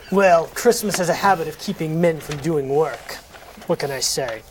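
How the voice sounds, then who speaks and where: A man's voice: fairly high pitch, big pitch swings, a little slow, a little hiss or hum. A man reading the part of a stingy, cold miser in a comic table read, curt and dismissive. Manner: curt, dismissive, dryly haughty.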